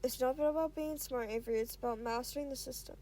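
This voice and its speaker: A woman reads as a calm young male student tutoring a friend in a read-aloud story. The delivery is restrained and matter-of-fact, reassuring but blunt, with a mellow tone. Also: sits high, fast.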